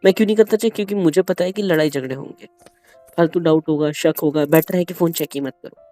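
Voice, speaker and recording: A man's voice: on the high side, fast talker, warm tone, no echo, faint music behind. A man in the role of a husband, arguing casually at home, protesting and often repeating his words. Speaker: husband in a prank video. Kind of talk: casual domestic argument.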